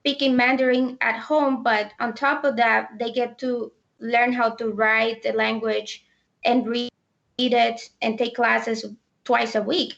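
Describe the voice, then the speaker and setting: Young dominican woman w/ accent, kinda raspy fry, lots of ums, muffled call sound. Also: on the high side, little pitch movement, slow, fairly bright tone. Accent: Dominican Spanish. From Dominican Republic. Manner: thoughtful, hesitant with many ums. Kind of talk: casual discussion.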